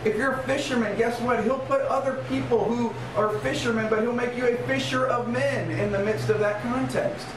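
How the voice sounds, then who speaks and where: A man's voice: extremely high pitch, fairly even intonation, moderate pace, few pauses. A middle-aged American man preaching an informal sermon as a pastor, emphatic and conversational, checking in with rhetorical questions. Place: small church room in a converted bar, indoors. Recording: roomy, distant mic, noisy background.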